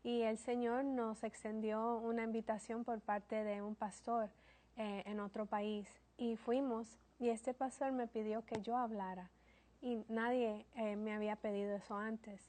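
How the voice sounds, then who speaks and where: A woman, telling her testimony as a guest in an earnest, steady way. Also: fairly high pitch, measured pace. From Colombia.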